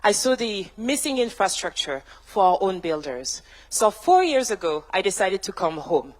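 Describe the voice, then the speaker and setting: A woman speaks from a stage with measured emphasis, stacking her points into rhythmic lists. Her mid-range voice carries through a large hall, and the long reverb trails each phrase. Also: medium pitch, big pitch swings. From France. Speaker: tech founder, panel speaker. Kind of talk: prepared keynote-style panel answer.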